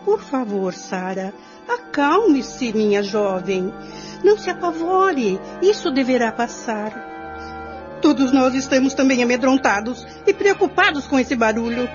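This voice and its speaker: Woman as high, swooping motherly lady of the house. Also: sing-song, a little slow. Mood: soothing, worried. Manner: soothing, concerned, theatrical. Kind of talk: radio soap melodrama. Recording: dull lo-fi radio play with music.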